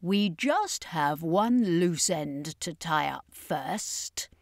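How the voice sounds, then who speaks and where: A woman's voice: low-pitched, expressive, extremely slow, no room sound, clean studio sound, full character voice. A woman reading a storybook, voicing a commanding, resolute male circus master who hunts vampires.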